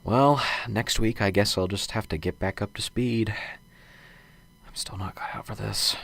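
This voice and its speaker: A man's voice: on the low side, fairly even intonation, unhurried, vocal fry, fairly bright tone, heavily acted. Man as a subdued, muttering, unsure professor. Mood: weary self-doubt. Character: nervous, unsure male college professor muttering to himself. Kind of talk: radio drama acting.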